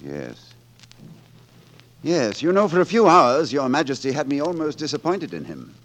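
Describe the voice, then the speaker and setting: An actor playing a gallant, witty poet-rogue turned lord constable, with courtly, theatrical delivery in 1940s radio drama style. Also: male voice, on the high side, huge pitch swings, normal speed, fairly bright tone. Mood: urgent, uneasy. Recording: vintage 1940s radio broadcast, orchestral music.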